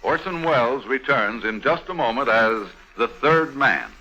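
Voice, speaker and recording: A man announces an old radio show with slow, stately drama. His intonation swells and dips over loud music, and the recording is dull and aged. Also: mid-range voice, animated.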